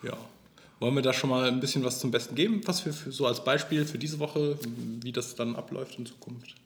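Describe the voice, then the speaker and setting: A man in his thirties with a mid-pitched voice hosts a relaxed church podcast. He rambles a little, laughs easily and often restarts his sentences. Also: a little fast, roomy sound. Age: 30s. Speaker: sexton and podcast co-host. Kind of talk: casual chat.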